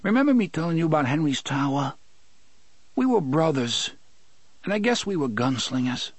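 Guy doing a crying young dude, high and fast, begging and bitter, dry close mic. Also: big pitch swings, no room sound. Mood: grieving. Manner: pleading, rapid, raised and strained. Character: young man, desperate, angry, tearful.

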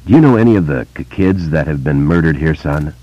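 A man voicing a grave, quiet grown man who speaks softly and stutters. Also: a bass voice, expressive, no echo. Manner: soft, measured, stuttering. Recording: dull lo-fi dry recording.

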